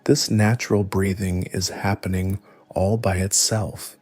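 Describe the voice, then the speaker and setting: A man narrating a scripted guided meditation, giving gentle instructions in a soothing, measured way. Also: low-pitched, level tone, soft background music.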